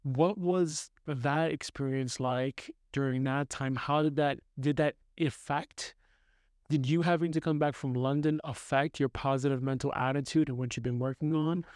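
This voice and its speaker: A man's voice: mid-range voice, fairly even intonation, moderate pace, darkish tone, clear, resonant tone, no echo, studio quality. A man co-hosting a podcast, asking his partner long, probing, rambling questions. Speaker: podcast co-host. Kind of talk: casual podcast conversation.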